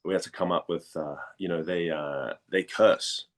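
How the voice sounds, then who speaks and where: A man in a casual interview, telling stories in a chatty, halting way and often rephrasing as he goes. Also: low-pitched, fairly even intonation. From Denmark. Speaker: voice actor and model, interview guest.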